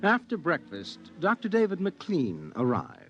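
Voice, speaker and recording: A man's voice: a little high, wildly swooping pitch, measured pace, dull audio. A man narrating a 1950s radio drama as a theatrical, ominous storyteller. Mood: ominous storytelling. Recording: vintage radio recording with music behind.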